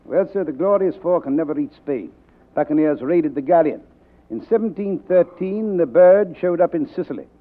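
An actor playing a suave, greedy fat crime boss with florid, courteous manners in a 1940s radio drama. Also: male voice, on the high side, lively intonation, moderate pace, natural voice. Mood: genial, grandiose. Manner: florid, formal, courteous address. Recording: tinny vintage 1940s radio recording.

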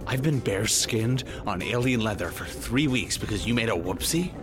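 A man's voice: fairly low pitch, expressive, normal speed, over music, close to an everyday voice. An actor playing a prickly, sarcastic, jittery male detective in a noir audio drama. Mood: exasperated. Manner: sarcastic, grumbling, flustered.

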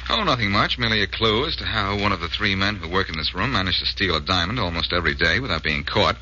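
An actor playing a confident, wisecracking amateur detective with a brisk, sardonic manner in 1940s radio drama style. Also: male voice, sits low, animated, sharp tone, full character voice. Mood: wry, brisk. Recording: muffled, hissy old-time radio broadcast.

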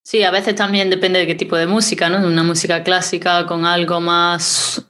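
A woman interviewing a guest, asking curious questions and agreeing quickly as he talks. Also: fairly low pitch, flat delivery, a bit bright.

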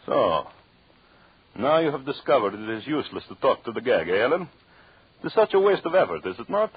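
An actor playing a vengeful, gloating husband with a killer's formal, theatrical menace in a 1930s radio horror play. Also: male voice, mid-range voice, very animated, a little fast, husky, no echo. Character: vengeful jealous husband, gloating mad killer with surgical knives. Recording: muffled, hissy 1930s radio recording.

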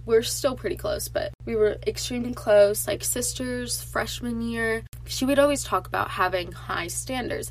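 Teen girl podcaster, stuffy nasal voice, chatty, mid-pitched, faint hum behind. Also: poor audio. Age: teens. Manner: chatty and animated, lots of filler "like". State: congested from allergies. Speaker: podcast host. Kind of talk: casual solo podcast chat.

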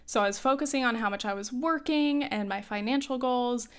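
A young woman in her twenties chats through advice in a relaxed, rambling way, with frequent fillers and light laughter. Her voice sits fairly high and sounds smooth and clear, though a touch hoarse from coughing. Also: fairly quick. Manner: chatty, lots of "like" and "um", laughs often. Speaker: advice host. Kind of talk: casual advice chat.

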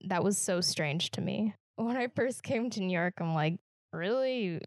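A woman from Kansas with a Midwestern American accent, co-hosting a podcast in a reflective, halting way that often restarts phrases. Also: mid-range voice, expressive, a little mellow, no echo, studio quality. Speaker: podcast co-host. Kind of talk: casual podcast conversation.

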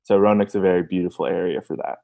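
Rambling low-voiced young man. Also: fairly even intonation, fast, vocal fry, dark tone, dull audio. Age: late 20s. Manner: rambling, hesitant, self-mocking with restarts and laughs. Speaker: podcast guest. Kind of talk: casual podcast chat.

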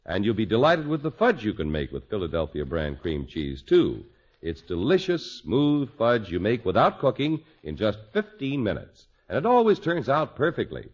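A man announcing on 1950s radio, reading a scripted commercial with emphatic, deliberate salesman phrasing. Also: on the low side, huge pitch swings. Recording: muffled old radio broadcast.

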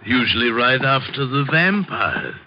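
An actor playing a huge, eerie, menacing butler in an old radio drama, speaking formally and ominously. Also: male voice, mid-range voice, big pitch swings, unhurried. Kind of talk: 1950s radio drama acting. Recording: noisy, muffled old radio recording.

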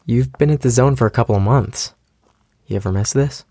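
A young man playing a weary, anxious but caring survivor in an audio drama, with a gravelly creak in his voice. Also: mid-range voice, fairly quick, dark tone, no room sound, close to an everyday voice. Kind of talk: naturalistic audio drama acting.

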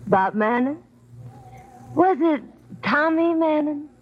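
Swooping, mocking boozy dame. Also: female voice, high voice, expressive, slow pace. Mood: guarded, bitter. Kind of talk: 1950s radio crime drama acting. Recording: muffled vintage radio recording, faint noise.

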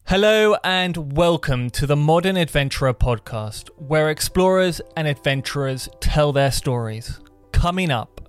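A man hosting a podcast, giving a measured, welcoming presenter delivery in interviews and a scripted intro. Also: fairly high pitch, expressive, faint music behind. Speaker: podcast host.

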